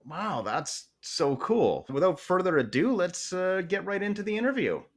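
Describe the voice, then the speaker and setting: A man's voice: high voice, very animated, moderate pace. A man co-hosting a podcast, chatting in an easygoing way. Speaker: podcast co-host. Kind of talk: casual podcast chat.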